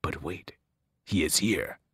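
Guy doing a low, cold evil monster voice from the depths, slow and scornful, dry sound. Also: lively intonation, fairly bright tone, breathy edge, no echo, exaggerated voice. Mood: menacing. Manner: menacing, scornful, slow and looming. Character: cold ancient evil male monster in a pit.

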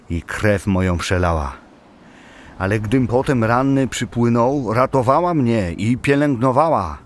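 An actor playing a bold, hearty, stubborn Slavic warrior in a dramatic audio play, with a declamatory manner. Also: male voice, fairly low pitch, slight background noise, natural voice.

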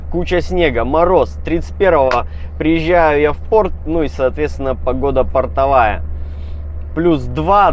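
A man who drives a truck, chatting to his viewers in a relaxed, rambling vlog style. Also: mid-range voice, a little hiss or hum. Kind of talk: casual vlog chat. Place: truck cab and roadside.

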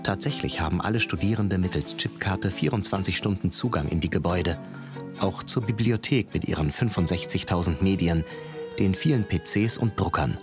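Very low-voiced male documentary narrator. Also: moderate pace. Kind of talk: scripted narration. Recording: music behind the voice. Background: background music.

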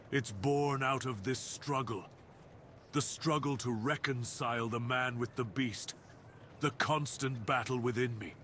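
Casting: male actor as commanding, brooding wolf beast, mid-pitched, formal and deliberate, for ASMR fantasy drama. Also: a bit bright, slight background noise. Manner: solemn, deliberate, theatrical delivery with formal wording. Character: brooding cursed dire wolf beast-man, commanding castle master. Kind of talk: ASMR roleplay, dramatic monologue.

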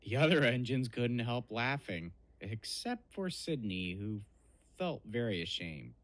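A man narrating a children's train story in an expressive, scripted storybook style. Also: medium pitch, unhurried, faint music behind.

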